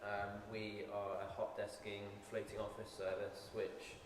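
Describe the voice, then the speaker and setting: Flat-voiced man pitching in an echoey hall. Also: unhurried, far from the mic, slight background noise. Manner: flat, sales-pitch delivery with "um" fillers. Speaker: company representative. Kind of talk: casual pitch.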